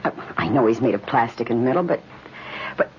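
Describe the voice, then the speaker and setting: An actress playing an anxious daughter of a scientist in an old radio drama, with an emotive, hesitant delivery. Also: female voice, a bass voice, expressive, fairly quick. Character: anxious, fearful scientist's daughter, technically knowledgeable. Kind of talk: 1950s radio drama acting. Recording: muffled, faintly noisy old radio recording.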